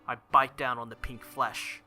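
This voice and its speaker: Male let's-play reader, very bright forceful voice, dramatic visual novel narration over music. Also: medium pitch, little pitch movement, normal speed. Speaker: let's play video host. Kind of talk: reading visual novel text aloud.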